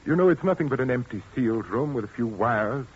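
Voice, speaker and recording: An actor playing a smooth, sinister widower in 1940s radio drama, suave and insinuating. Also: male voice, medium pitch, animated, fast talker. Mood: brooding. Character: smooth sinister widower, middle-aged man. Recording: dull old radio recording with faint hiss.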